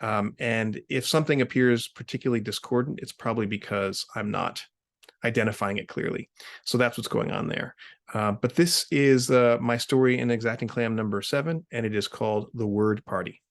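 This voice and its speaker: A male author at an online literary reading. He presents his own short fiction in a middle-pitched voice. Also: normal speed, creaky. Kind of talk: informal spoken introduction, then literary reading. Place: remote video reading series.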